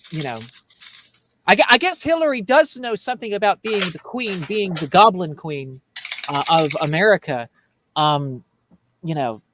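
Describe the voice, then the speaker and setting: A man's voice: on the high side, a bit bright, slight background noise. A man hosting a political commentary show in a casual, joking way, rambling and repeating himself as he mocks. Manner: rambling, repetitive, mocking.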